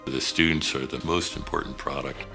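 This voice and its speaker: A man's voice: a bass voice, steady tone, brisk, vocal fry, music in the background. A man who directs a research center, explaining his work plainly in a documentary interview.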